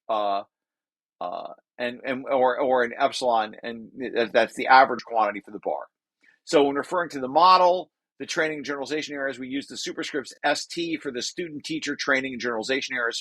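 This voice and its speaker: Guy doing a technical read-through, mid pitched, informal, keeps restarting his asides, dry sound no room. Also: a bit bright, no room sound, poor audio. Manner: informal, halting asides with repeated restarts between read passages. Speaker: presenter reading and explaining a research paper draft. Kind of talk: technical walkthrough, reading aloud with off-the-cuff explanations.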